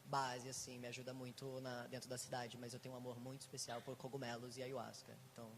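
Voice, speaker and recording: A Brazilian man in his twenties introducing himself as a psychologist in a group, relaxed with hesitant fillers. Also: mid-range voice, quick pace, long reverb tail, slight background noise. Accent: Brazilian Portuguese. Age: 20s. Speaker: psychologist, harm reduction worker.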